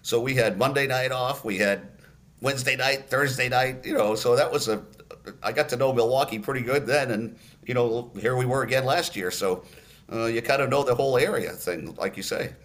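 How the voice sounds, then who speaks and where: An older man from Massachusetts recalls his radio career in a loose, relaxed way. He keeps his pitch in a middle range and pauses often on "uh" as he goes. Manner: relaxed storyteller, many "uh" pauses. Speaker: radio play-by-play announcer. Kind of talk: podcast interview, storytelling.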